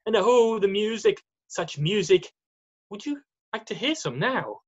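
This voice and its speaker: An amateur performer voicing a gentle, nervous male faun with a hesitant, apologetic manner in a screenplay readthrough. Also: medium pitch, expressive, unhurried, highs cut off. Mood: wistful, nostalgic. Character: nervous, guilt-ridden, gentle male faun, kindly host.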